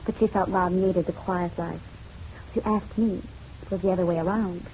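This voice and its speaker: Low, coy rich young woman, played by an actress. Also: fairly even intonation, moderate pace, mellow, close to an everyday voice. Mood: cool, frank. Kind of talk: old-time radio drama acting. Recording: muffled, noisy old radio recording.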